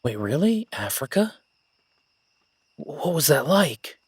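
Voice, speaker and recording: A man playing an excitable, nerdy young academic on his first jungle expedition in a roleplay audio drama, gushing, stammering and apologetic. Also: a little high, takes it slowly, slightly rough, no room sound, clean studio sound, full character voice. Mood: giddy excitement. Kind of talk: immersive roleplay audio drama, one-sided conversation.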